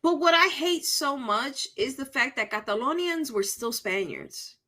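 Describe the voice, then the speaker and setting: A woman co-hosting a podcast, speaking with a Dominican accent. Her voice is bright and sharp, and she talks bluntly, animated and unfiltered, swearing casually as she tells her stories. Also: high-pitched, a little slow. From Puerto Rico and the Dominican Republic. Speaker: podcast co-host. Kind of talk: casual chat.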